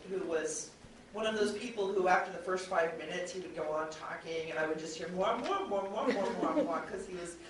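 A woman's voice: lowish voice, fairly quick. A woman talks informally as a panelist, sharing practical advice in a conversational way. Her voice is picked up from a distance in a very echoey room, with faint background noise.

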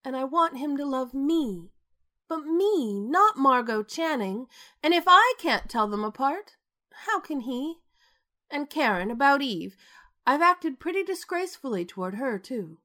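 A temperamental theatre diva in a radio play. Her high voice swings widely in pitch, dramatic and self-mocking, with insecurity under the grand manner. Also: sing-song, a little slow, sharp tone, heavily acted. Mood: vulnerable, confessing.

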